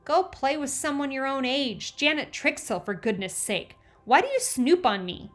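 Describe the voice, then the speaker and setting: Woman voicing a sulky teenage girl, jealous, pouty, slightly high voice. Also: expressive, moderate pace, faint music behind, lightly acted. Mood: angry shouting. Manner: pouty, snorting.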